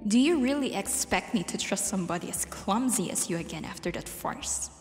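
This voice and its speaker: A woman playing a rich, scornful, sharp-tongued boss in a radio drama, haughty and indignant. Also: mid-range voice, lively intonation, fairly quick, faint music behind, lightly acted. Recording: echoey reverberant hall.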